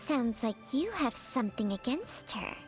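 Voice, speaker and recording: A woman voicing a small teasing female snake companion in a game, with a high, airy, playful voice and knowing asides. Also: sing-song, moderate pace, faint music behind. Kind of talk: game voice lines.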